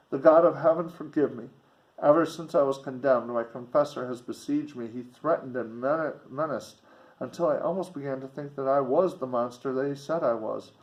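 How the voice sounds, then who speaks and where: A man's voice: mid-range voice, pitched above the performer's own voice, natural voice, poor audio. A man voicing a pious, wretched young woman condemned to die in a gothic novel, pleading in anguished, old-fashioned speech.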